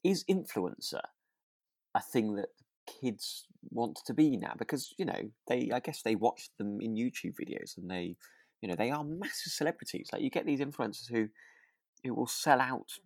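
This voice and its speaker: A man's voice: mid-range voice, expressive, normal speed, dry recording. British guy musing on a podcast, bit hesitant, informal, really dry room sound. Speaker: podcast co-host. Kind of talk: casual podcast chat.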